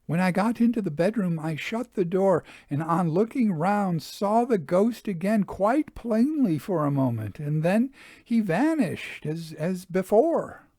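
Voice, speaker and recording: A man's voice: high voice, sing-song, measured pace, warm tone, no room sound, studio quality, voice pushed up, heavily acted. A man voicing a nervous farmer's wife in a storybook reading, earnest and emphatic as she tells of her haunted house. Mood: uneasy recounting.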